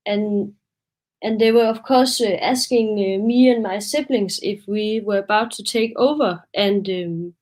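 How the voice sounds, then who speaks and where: A woman's voice: a little high, poor audio. A young Danish woman presenting her photo project on a video call, hesitant and a bit nervous, with many fillers. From Denmark. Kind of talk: webinar presentation.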